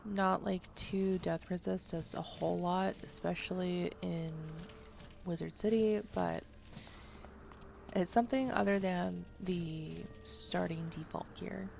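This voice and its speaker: A woman's voice: lowish voice, fairly even intonation, slow pace, gravelly, darkish tone. A woman giving casual game walkthrough commentary as a gaming video creator. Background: game music.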